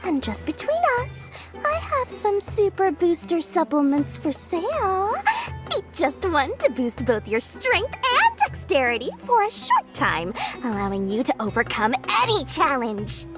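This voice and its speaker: A greedy little merchant girl, extremely high in pitch and very animated. She is gleeful and pushy with her exclamatory sales patter, in video game style over clear background music. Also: a little slow, heavily acted. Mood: wheedling. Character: small, greedy, gleeful girlish female merchant.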